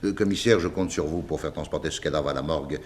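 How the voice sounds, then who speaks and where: An actor playing a pompous senior police official in a vintage French radio drama, declaiming with authority. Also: male voice, very deep voice, fast talker. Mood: grandly authoritative.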